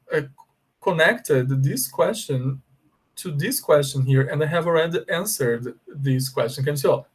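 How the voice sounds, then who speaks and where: A man with a Brazilian Portuguese accent, an English teacher explaining a lesson slowly and checking in often with his students. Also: a little high, husky. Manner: slow, deliberate explaining with frequent check-ins. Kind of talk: bilingual language lesson.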